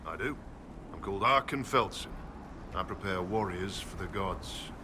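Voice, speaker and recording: A man's voice: normal speed, close to an everyday voice. Man acting a proud warrior trainer, noisy surroundings. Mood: proud, challenging. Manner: stern, taunting, declarative. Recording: clear ambient rumble behind voice.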